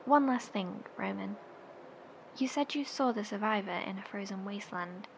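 A woman playing an earnest, careful investigator in a horror audio drama, speaking softly and asking tentative questions. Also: mid-range voice, moderate pace, gravelly, a little hiss or hum, natural voice, low-quality recording. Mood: tentative, concerned.

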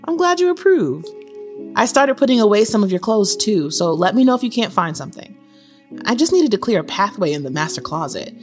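An actress playing a caring, candid young girlfriend in an audio drama, casual and expressive. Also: mid-range voice, fairly quick, lightly acted. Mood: upbeat, interested. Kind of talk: naturalistic audio drama acting. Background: music.

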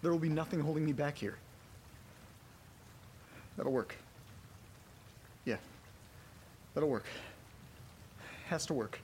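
A man playing a frightened character trapped in a haunted house, muttering to himself haltingly and swearing in a horror audio drama. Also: on the high side, a little mellow, slight background noise. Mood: desperate resolve.

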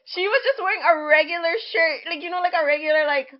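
A young woman co-hosting a podcast in casual chat, animated and sarcastic with mock indignation. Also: extremely high pitch, quick pace, dull audio. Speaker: podcast co-host.

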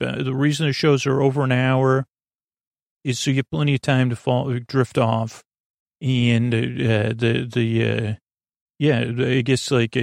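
A man with a creaky voice hosting a bedtime podcast in a meandering, halting monologue, often stammering and restarting as he drifts into tangents. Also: mid-range voice, no room sound, studio quality. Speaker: podcast host.

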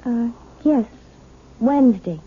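An actress playing a hypnotized woman who slips back into a frightened girl, halting, dreamy and tearful, in a 1970s radio drama. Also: on the high side, expressive, husky, full character voice. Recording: tinny old radio broadcast with music.

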